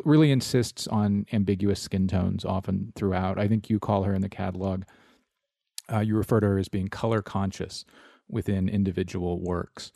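A man interviewing someone in a conversational, analytical way, with measured questions and observations. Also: lowish voice, creaky, warm tone, no room sound, clean studio sound.

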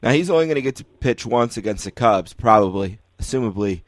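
A man with a fairly low voice hosts a sports podcast in a casual, conversational style. The sound is close and dry, with little trace of the room. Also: animated, a little fast, dry recording. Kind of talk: casual sports interview chat.

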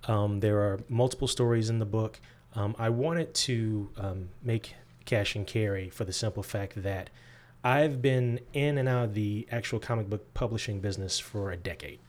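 A man born in Georgia and raised in the Midwest, a guest author answering interview questions thoughtfully, with frequent pauses. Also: on the low side, darkish tone. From born in Georgia, grew up in the US Midwest.

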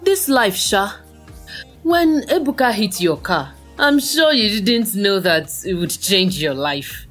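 A woman's voice: mid-range voice, animated, slow, a bit bright, soft background music. A woman playing a chatty, teasing young Nigerian best friend in an audio drama, full of exclamations and slang. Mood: envious, playful.

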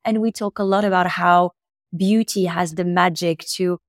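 Moroccan woman, low voice, thoughtful pace. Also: fairly even intonation, normal speed, no room sound, studio quality. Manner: thoughtful, with frequent fillers and restarts. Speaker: guest, beauty company founder. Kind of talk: podcast interview answers.

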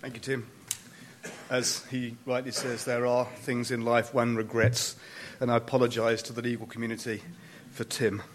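A man giving a prepared memorial speech, formal and deliberate, with emphatic pauses and dry wit. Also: medium pitch, level tone, background noise. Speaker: closing speaker at a memorial event.

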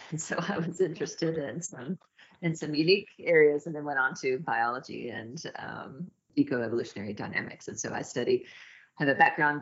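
Female academic guest, informal podcast interview delivery, dull lo-fi recording. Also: a bass voice. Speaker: guest researcher.

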